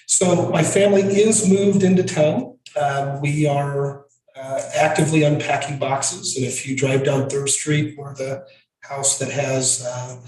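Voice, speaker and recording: A man who is losing his voice gives a town administrator's report, stopping often on ums and restarting his sentences. His voice sits a little high. He is picked up from a distance in an echoey meeting room. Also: a little mellow. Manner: halting, many fillers and restarts. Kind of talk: verbal staff report at a town board meeting.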